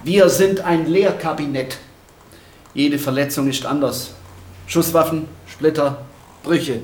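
A man's voice: a little high, takes it slowly, a little mellow. A man playing a detached, pedantic forensic lab expert explaining his specimens in a radio drama. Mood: proud, detached. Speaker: supporting actor. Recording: roomy echo, faint background noise.

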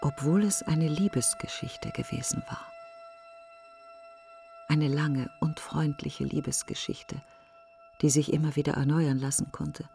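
An actress playing a grieving woman in a literary radio monologue, speaking in hushed, halting fragments. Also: low-pitched, wildly swooping pitch, faint music behind, full character voice. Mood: mournful. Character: grieving woman brooding on her dead best friend.

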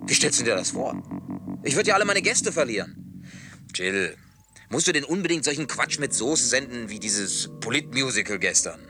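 An actor dubbing a man who tells a long story in a laid-back way with gruff humor. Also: mid-range voice, big pitch swings, moderate pace, music in the background. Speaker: lead character in a dubbed film. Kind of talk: dubbed film acting.

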